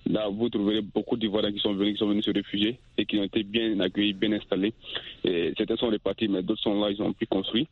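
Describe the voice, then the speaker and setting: Brief: male phone-in caller, lowish voice, halting and repetitive, tinny telephone sound, off-the-cuff opinion. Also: little pitch movement, breathy edge. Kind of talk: spontaneous opinion-giving. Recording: tinny dry phone line.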